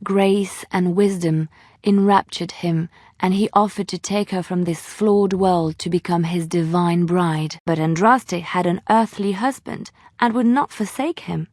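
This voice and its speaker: A low-voiced, lyrical female bard storyteller. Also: darkish tone, dry recording, clean studio sound. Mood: wistful, solemn. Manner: measured, lyrical, earnest storyteller. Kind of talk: dramatic fantasy storytelling, game voice acting.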